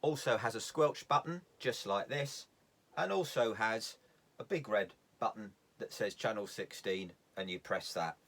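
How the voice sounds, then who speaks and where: A man's voice: fairly low pitch, measured pace, fairly bright tone. A man giving an informal, hands-on instructional talk as a sailing instructor, plain-spoken and step by step, repeating key points for emphasis.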